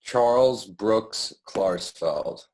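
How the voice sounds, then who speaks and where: A man in his thirties with a very low, deep voice answers interview questions. He talks casually and pauses to think, with plenty of fillers and restarts along the way. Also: darkish tone. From born in London, raised in Paris and New York. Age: 30s. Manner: hesitant and thoughtful, many fillers and restarts. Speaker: guest, music producer and DJ.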